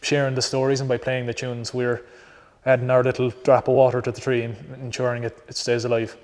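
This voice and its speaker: Earnest Connemara Irishman, flat and reverberant. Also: medium pitch, brisk. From Conamara, Ireland. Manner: earnest, reflective, with frequent ums and restarts. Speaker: traditional musician. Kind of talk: on-stage talk introducing songs.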